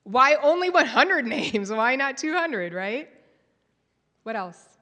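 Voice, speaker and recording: A woman's voice: sits high, big pitch swings, takes it slowly, sharp tone. A woman giving a live conference talk, telling a story and quizzing the audience. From United States. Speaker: conference speaker. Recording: long hall reverb.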